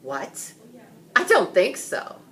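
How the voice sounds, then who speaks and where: A woman performs a commanding male king from a storybook. The delivery is pompous and formal, with forceful, swooping emphasis over faint background noise. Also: wildly swooping pitch, takes it slowly, heavily acted. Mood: grudging. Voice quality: deep, authoritative voice. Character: solemn, commanding old king with sons.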